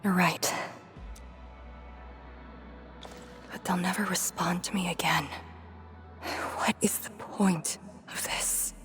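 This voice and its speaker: A grieving woman in a video game, acted by a woman, remembers in a slow, low-pitched near-whisper. She is wistful and halting, with breath in her words. Music plays clearly behind her. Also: fairly even intonation, lightly acted. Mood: despairing. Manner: halting, hushed, breathy delivery. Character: grieving woman revisiting her lost childhood home, wistful, haunted. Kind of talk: dramatic video game voice acting.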